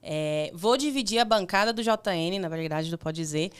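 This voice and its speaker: Upbeat podcast host, woman, smooth and clear delivery. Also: on the low side, moderate pace. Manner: upbeat, playful. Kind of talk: casual podcast hosting.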